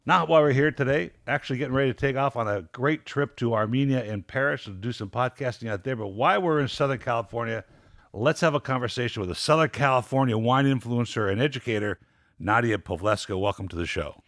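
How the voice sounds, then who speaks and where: A man's voice: mid-range voice, quick pace, fairly bright tone, dry recording. A middle-aged man hosting a wine podcast in a studio, upbeat and rambling with frequent restarts. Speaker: podcast host. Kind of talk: casual interview chat.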